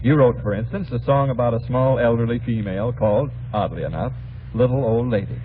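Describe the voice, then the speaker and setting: A man's voice: medium pitch, a little fast. A man hosting an old-time radio variety show with scripted banter and grandiose, mock-courtly phrasing. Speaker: radio show host and announcer. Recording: muffled old radio recording, faint noise.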